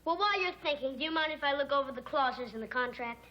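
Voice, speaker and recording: Actress as a high-voiced, pushy agent. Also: female voice, fast, dull audio, close to an everyday voice. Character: brash, hard-bargaining stage mother and agent. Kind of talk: 1950s television sitcom acting. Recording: very noisy old soundtrack, loud audience.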